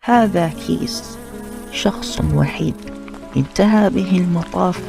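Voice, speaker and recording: A young man voicing a solemn, melodramatic cartoon story narrator with drawn-out, portentous phrasing. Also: high voice, creaky, music in the background. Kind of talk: slow dramatic cartoon narration.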